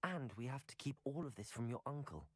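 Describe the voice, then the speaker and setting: A man's voice: mid-range voice, expressive, fairly quick, a bit bright, dry recording, clean studio sound. A man playing an earnest, cautious teenage wizard student, the hero of a video game. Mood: wary. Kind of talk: scripted video game dialogue and combat calls.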